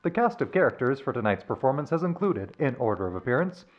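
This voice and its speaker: A man reads as a formal radio announcer, deliberate and scripted. His mid-pitched voice moves up and down with lively intonation. The recording is a little lo-fi. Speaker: announcer reading closing credits. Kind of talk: scripted radio-show sign-off. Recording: slightly dull, lo-fi sound.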